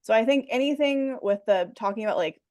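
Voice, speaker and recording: A woman's voice: a little high, brisk, darkish tone, no echo. A woman counselor is a guest in an interview, explaining her ideas in a casual, upbeat way. She uses "like" again and again as she talks. The recording is dry, with no room sound. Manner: casual, enthusiastic, many "like" fillers. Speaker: mental health counselor.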